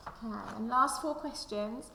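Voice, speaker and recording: Echoey recording, woman, creaky voice, primary teacher, storybook read-aloud for children. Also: fairly high pitch, unhurried, sharp tone, slight background noise. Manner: addresses young listeners directly. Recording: echoey room with long reverb.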